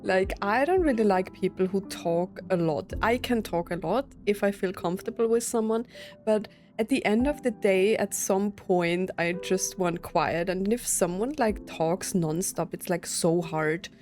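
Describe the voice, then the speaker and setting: A woman streamer comments on a game in a loose, conversational style. Her voice sits at an average pitch, and faint music plays behind her casual reactions. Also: measured pace, darkish tone. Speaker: gaming streamer.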